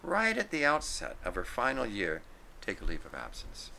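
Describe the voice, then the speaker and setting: Deep, bright-voiced man reading a story. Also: wildly swooping pitch, normal speed, creaky, slight background noise, rough recording. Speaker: story reader. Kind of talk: scripted narration, literary story read aloud.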